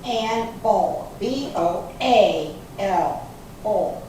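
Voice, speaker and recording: A woman's voice: mid-range voice, lively intonation, extremely slow, mellow. A woman playing an unruffled, matter-of-fact suspect in an amateur readers theater reading. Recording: very echoey hall, distant mic, faint noise.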